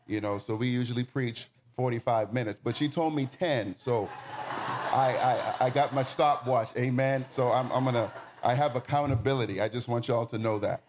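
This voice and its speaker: A man's voice: medium pitch, moderate pace, background noise. Man preaching an informal, folksy sermon. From Bahamas. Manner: informal, folksy, addresses the listeners directly. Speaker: guest preacher and nonprofit community manager. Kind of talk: sermon to a congregation.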